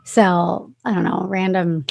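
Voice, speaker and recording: A woman's voice: fairly low pitch, steady tone, unhurried, creaky, a little mellow, a little hiss or hum. A woman hosting a podcast interview, talking casually with many fillers and agreeing often. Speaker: podcast host.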